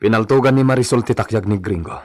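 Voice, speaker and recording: A man's voice: lowish voice, fast talker, darkish tone, no room sound, lightly acted. A man with an Ilocano accent playing a blunt, commanding son in a family radio drama. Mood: grave reporting.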